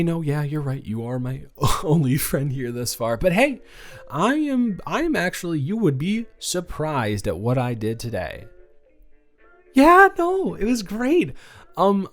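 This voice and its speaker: A man playing a sweet, playfully teasing young college student in a romantic audio roleplay, speaking casually and haltingly with repeated words. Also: mid-range voice, lightly acted. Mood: bashful, appreciative. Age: young adult.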